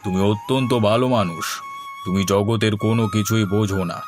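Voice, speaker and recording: A man voicing a gentle, wise old holy hermit in a cartoon folktale. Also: on the low side, normal speed, music in the background, close to an everyday voice. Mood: benevolent, approving.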